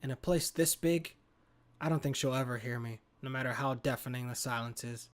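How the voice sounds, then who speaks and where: A man's voice: medium pitch, steady tone, fairly quick. A man reading a horror game's story narration aloud as a gaming host. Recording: clear background noise.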